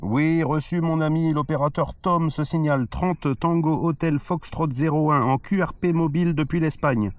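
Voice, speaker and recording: A man's voice: fairly high pitch, normal speed, hardly any pauses. A man who vlogs as an amateur CB radio operator, talking casually and calling with repeated phrases and spelled-out call signs. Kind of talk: casual outdoor vlog and radio calls. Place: outdoors on a hillside by the sea. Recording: loud radio static and hiss.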